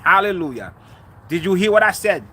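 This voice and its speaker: A man's voice: sits high, big pitch swings, brisk, sharp tone, slightly rough. A man from Haiti giving a fervent spiritual talk on faith and relationships, repeating key lines for emphasis. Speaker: spiritual speaker. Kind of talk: preachy motivational talk.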